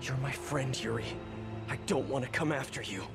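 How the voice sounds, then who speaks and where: A man's voice: mid-range voice, moderate pace, gravelly, close to an everyday voice. An actor playing an earnest young male superhero who often talks to himself with concern in a video game cutscene. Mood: pleading. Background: game score music.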